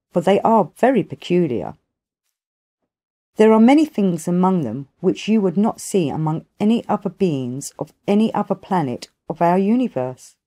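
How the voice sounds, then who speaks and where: Woman playing a wise ancient grandfather, audiobook tale, mellow, expressive pitch, formal and patient. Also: close to an everyday voice. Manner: measured, formal, long-winded.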